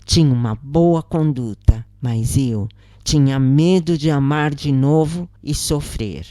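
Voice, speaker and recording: Low, slow, expressive woman narrator. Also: no echo, studio quality. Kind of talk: scripted, dramatic first-person story narration.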